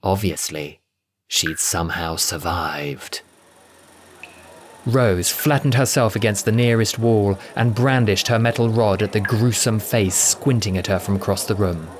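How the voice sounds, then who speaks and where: A man's voice: lowish voice, measured pace, faint music behind. A man giving scripted, dramatic narration of a science fiction audio adventure.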